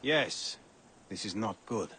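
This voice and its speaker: An actor playing a weary, sorrowful villager in a dramatic video game. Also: male voice, on the low side, expressive, slow, sharp tone, slight background noise, exaggerated voice. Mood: weary, grave. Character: sorrowful, weary Egyptian villager pleading for justice.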